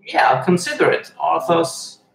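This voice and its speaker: Man, English, hosting a live chat, slow and halting, slightly high voice, echoey room with faint hiss. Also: very animated, vocal fry. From Switzerland. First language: Swiss German and Italian. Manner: halting, many fillers and restarts, reads chat aloud. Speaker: live-stream host reading chat. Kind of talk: casual live-stream chat.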